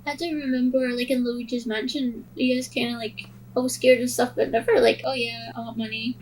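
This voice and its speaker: A woman's voice: on the high side, level tone, a little fast, a little mellow, slight background noise, low-quality recording. A young woman co-hosting a podcast in a casual film discussion. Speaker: podcast co-host.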